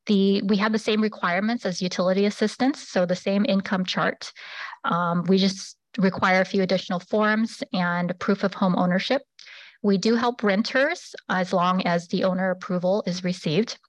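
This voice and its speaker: A woman presenting a public assistance program at a public meeting, pausing often with fillers and restarts. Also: medium pitch, fairly even intonation, dry recording, low-quality recording. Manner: halting, many fillers and restarts.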